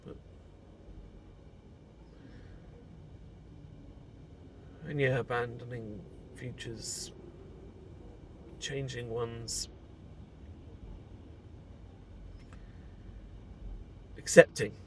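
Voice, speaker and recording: Guy acting as a british bloke opening up to a friend, slow halting talk, sweary, raw, car-like noise behind him. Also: medium pitch, fairly even intonation, close to an everyday voice. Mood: wistful, sad. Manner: halting, confessional, sighing, informal and sweary. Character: emotional man leaving an abusive marriage, confiding in close friend. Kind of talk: intimate audio roleplay, naturalistic acting.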